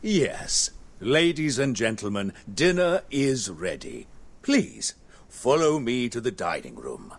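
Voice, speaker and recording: A man's voice: medium pitch, sing-song, slow, gravelly, exaggerated voice. A man playing a courteous, unflappable manor butler in a video game, formal and deferential in manner. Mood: courteous, composed. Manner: deferential, ceremonious. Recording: music behind the voice.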